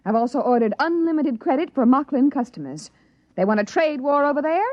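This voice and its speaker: Commanding, clipped female official, very animated intonation, vintage sci-fi radio, dry and dull-sounding recording. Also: sits high, a little fast, no room sound. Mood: icy, commanding. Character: imperious, curt woman company inspector. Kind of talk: 1950s radio drama acting.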